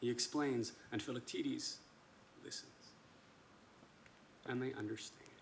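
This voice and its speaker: A man's voice: medium pitch, steady tone, measured pace, creaky. A man acting a hesitant, self-doubting army officer who speaks casually and ramblingly in a naturalistic stage play. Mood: earnest, unsure. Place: indoor performance space. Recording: live stage video with background noise.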